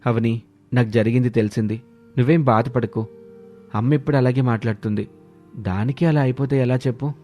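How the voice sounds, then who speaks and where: A man's voice: medium pitch, steady tone, brisk, pauses often, dark tone, soft background music, close to an everyday voice. A man voicing a caring young husband and dutiful son in a family story, mild and soothing in manner.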